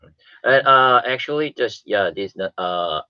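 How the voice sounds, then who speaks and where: A man chatting casually as a radio panelist, with halting, fragmented phrasing. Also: mid-range voice, lively intonation, smooth, no echo, highs cut off. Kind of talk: casual studio chat. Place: radio studio.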